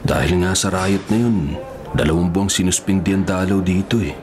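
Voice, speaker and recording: Actor as deep-voiced cocky Filipino convict. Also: male voice, fairly even intonation, a little fast, close to an everyday voice. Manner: boastful, unbothered. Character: big, muscular, cocky violent male convict. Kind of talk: Filipino radio drama acting. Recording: clear crowd murmur behind.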